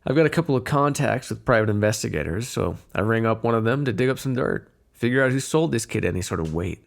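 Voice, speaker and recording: A man playing a flirtatious, menacing mob boss in an ASMR roleplay, smooth-talking in a teasing, insinuating way with drawn-out phrasing. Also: mid-range voice, lively intonation. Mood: sly, businesslike. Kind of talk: ASMR roleplay voice acting, one-sided dialogue.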